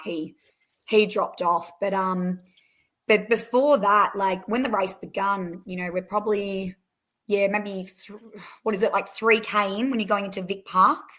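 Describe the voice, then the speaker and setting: A young woman with an Australian accent, her voice hoarse and croaky, chatting as a guest on a podcast with lots of fillers and restarts. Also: medium pitch, a bit bright. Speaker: race winner guest. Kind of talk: casual podcast interview.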